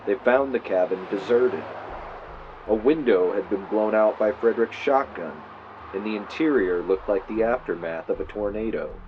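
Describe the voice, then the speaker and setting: Man acting as low-voiced podcaster on muffled tape. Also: lightly acted. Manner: theatrical, storytelling, wry asides. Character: male podcaster dramatically recording a spooky true-crime investigation. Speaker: podcast host character. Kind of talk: audio drama, true-crime narration recorded on tape. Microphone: mic clipped to shirt. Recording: muffled noisy tape, clip-on mic in car.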